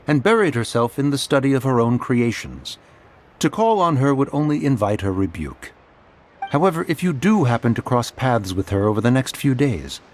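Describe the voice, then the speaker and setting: An actor playing a dignified ancient spirit in human form in a video game, speaking in formal, archaic phrasing. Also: male voice, mid-range voice, lightly acted. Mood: measured, formal. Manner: formal, archaic, refers to self as one. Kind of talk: English video game dub acting. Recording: voice over background music.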